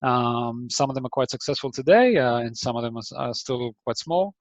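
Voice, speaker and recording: A man's voice: medium pitch, animated, brisk, no room sound, dull audio. A man with an Israeli accent tinged by New Zealand, giving a hesitant, friendly online talk to startups, full of ums and restarts. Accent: Israeli English with some New Zealand influence. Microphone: video call mic.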